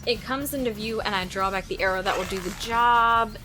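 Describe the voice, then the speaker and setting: A woman's voice: mid-range voice, brisk, close to an everyday voice. A woman playing a sardonic, defiant teenage girl archer who narrates and speaks in an audio drama, expressively. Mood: focused, determined. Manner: sarcastic, expressive. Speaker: narrator and lead character. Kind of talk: first-person audio drama narration and dialogue. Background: music.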